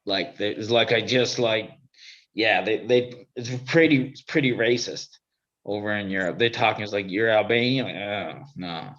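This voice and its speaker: A man's voice: fairly low pitch, moderate pace, a bit bright, lo-fi sound. A man chatting as a guest on a video call, easygoing and swearing often. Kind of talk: casual chat on a video call.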